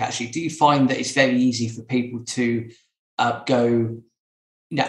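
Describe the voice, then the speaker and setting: A man hosting a podcast interview in a casual, conversational style. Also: medium pitch. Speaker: podcast host. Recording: echoey, roomy sound.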